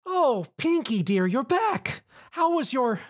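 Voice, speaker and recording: A man's voice: very high voice, sing-song, slow, voice pushed up, exaggerated voice. A man voicing a doting, concerned female pony baker in a story.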